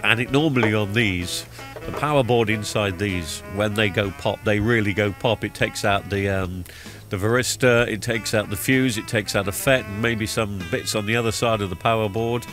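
A man's voice: fairly low pitch, moderate pace. Middle-aged male vlogger, stuffy cold voice, rambling casual voiceover, music bed underneath. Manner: chatty, rambling with many ums and uhs. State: blocked-up nose from a cold. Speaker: TV repair vlogger. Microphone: studio microphone recorded in post-production. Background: background music.

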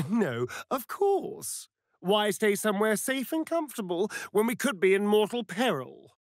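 Vain, flirtatious British vampire rogue for a fantasy game: slow, arch delivery with big swoops in pitch. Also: male voice, sing-song, no echo, clean studio sound. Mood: sardonic, teasing. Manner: arch, theatrical, drawling, mocking. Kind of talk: theatrical video game character acting.

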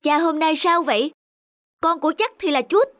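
Woman voicing a high-pitched greedy official. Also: lively intonation, normal speed, fairly bright tone, no echo, studio quality. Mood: puzzled, irritated. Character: greedy, boastful fat male official, middle-aged. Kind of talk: animated folk tale acting.

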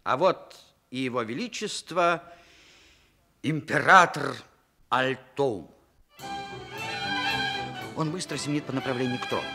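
A man's voice: mid-range voice, animated, unhurried, long pauses, pushed and bright. A man narrating a radio play in a theatrical, wry storytelling style. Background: music.

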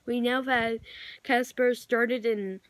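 Slow, halting female amateur announcer. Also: on the high side, steady tone, a bit bright, slight background noise. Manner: halting, improvised delivery with self-corrections. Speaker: amateur vlogger play-acting emergency alerts. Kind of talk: improvised mock emergency broadcast announcements.

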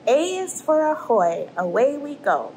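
A woman, reading a picture book aloud to children in an animated storytelling manner. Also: high voice, measured pace, slight background noise. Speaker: storybook reader and host. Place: indoors in front of an aquarium tank.

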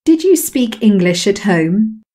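A woman repeats English drill sentences at an unhurried pace. Her voice is mellow and warm, and she keeps a calm, measured delivery. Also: medium pitch. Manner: calm, measured repetition of phrases. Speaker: English lesson voice. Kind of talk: slow scripted language drill.

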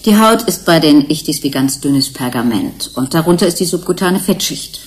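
An actress playing a matter-of-fact researcher in a German radio play, explaining things with precision. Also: female voice, sits low, lively intonation, moderate pace. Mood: explaining, sober. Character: matter-of-fact female researcher on an investigating team.

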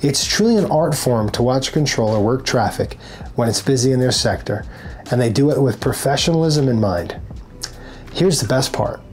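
A man in his forties presents a scripted tutorial, speaking to camera in an instructional way. His voice sits at a middle pitch. Music plays behind the narration. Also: lively intonation, normal speed, darkish tone. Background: background music.

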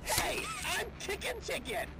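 A friendly cartoon chicken toy from a video game, childlike and slangy. The high voice leaps around at an unhurried pace, over loud noise. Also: wildly swooping pitch, vocal fry, heavily acted. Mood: friendly, inviting. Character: cheerful but timid cartoon chicken toy, eager to hang out. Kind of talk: scripted video game character lines.

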